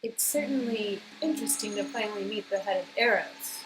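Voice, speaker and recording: A woman voicing an outspoken, practical companion in an interactive story game, pointed and expressive, on an amateur recording. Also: medium pitch, a little fast, a little hiss or hum, natural voice, poor audio. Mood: measured, wary.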